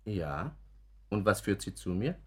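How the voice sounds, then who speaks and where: An actor playing a principled lawyer in a radio play, formal and polite but questioning and sceptical. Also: male voice, on the low side, very animated, a little slow, fairly bright tone, breathy edge, exaggerated voice. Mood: polite, reserved. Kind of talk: German radio play acting.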